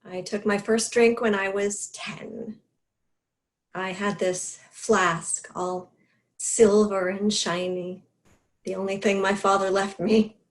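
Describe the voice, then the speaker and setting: An actress playing a grieving woman in recovery from alcoholism, delivering a confessional stage monologue in a halting, emotional way. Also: medium pitch, little pitch movement, lightly acted. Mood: subdued, confessional. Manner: halting, emotional delivery with pauses and repeated words. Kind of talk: dramatic stage monologue, first-person confession.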